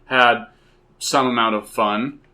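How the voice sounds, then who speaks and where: A man's voice: mid-range voice, steady tone, measured pace, a crowd in the background. A man co-hosting a casual podcast, tossing in wry, joking remarks. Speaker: podcast co-host.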